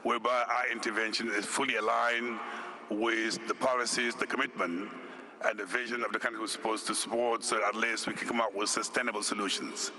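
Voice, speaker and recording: Measured man from Senegal giving a speech. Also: fairly low pitch, little pitch movement, noisy background. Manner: deliberate, measured delivery with enumerated points. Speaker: fund executive director. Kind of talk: formal conference speech. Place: conference hall.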